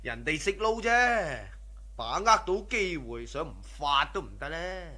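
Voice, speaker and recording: Swaggering crook, animated and bright-voiced. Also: male voice, a little high, measured pace, full character voice. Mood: boastful, confiding. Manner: boastful, persuasive, worldly. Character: swaggering, scheming drug-running cousin, a carefree womanizing man. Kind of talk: Cantonese radio drama acting.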